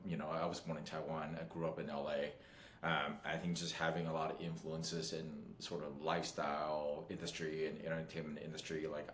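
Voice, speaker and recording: Middle-aged man, interview guest, low deep voice, upbeat chatty storyteller, echoey room. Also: a little hiss or hum. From Los Angeles. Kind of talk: casual interview chat.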